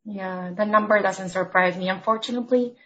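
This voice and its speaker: A woman from Argentina, a consultant guest on a podcast, explaining her points in a measured, structured way. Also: medium pitch, steady tone, a bit bright, low-quality recording. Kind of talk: podcast interview.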